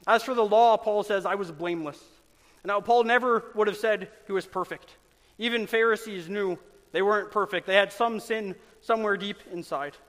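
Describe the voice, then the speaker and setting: Pastor, male, high voice; earnest, emphatic sermon delivery, church hall reverb. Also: fairly bright tone. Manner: earnest, emphatic preaching with dramatic pauses.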